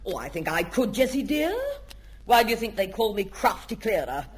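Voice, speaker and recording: A woman's voice: mid-range voice, very animated, normal speed, close to an everyday voice. A woman playing a chummy, crafty neighbour lady in an old-time radio comedy. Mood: gushing, sly. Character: chummy, crafty married neighbour lady, self-amused schemer. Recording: very noisy old radio recording.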